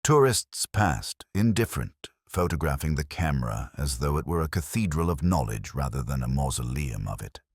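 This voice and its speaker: A man plays a brooding, pedantic police inspector telling his story in an audio mystery drama. The voice is extremely deep, with a lot of movement in its melody. The delivery is measured and literary, recorded close and dry. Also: big pitch swings, breathy edge, no room sound, heavily acted. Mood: reflective, quietly troubled. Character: melancholy, pedantic male police inspector recounting an old case. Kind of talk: scripted first-person mystery narration.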